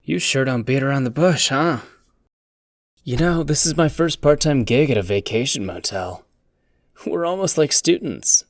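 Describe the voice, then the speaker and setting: A man's voice: medium pitch, animated, moderate pace, no room sound, studio quality, lightly acted. A man playing a sardonic, prying teenage boy in an anime dub, teasing and nonchalant. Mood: sarcastic. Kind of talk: anime fan dub acting.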